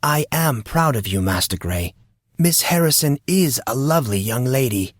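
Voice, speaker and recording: A man voicing a genteel, wry butler who speaks formally and with deadpan calm. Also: medium pitch, normal speed, no echo, studio quality, natural voice. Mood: amused, polite. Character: genteel male butler, polite, wry and unflappable.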